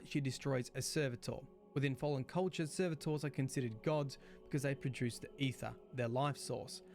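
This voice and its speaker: A man reading scripted explainer narration in a clear, expository way. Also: medium pitch, a little mellow. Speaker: lore video narrator. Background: background music.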